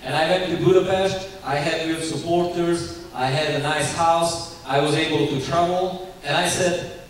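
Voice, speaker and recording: A young man with a Ukrainian accent giving his church testimony in earnest, halting English full of restarts. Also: fairly high pitch, fairly even intonation. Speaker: guest speaker giving testimony. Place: church sanctuary. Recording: very echoey church hall, distant mic, faint hiss.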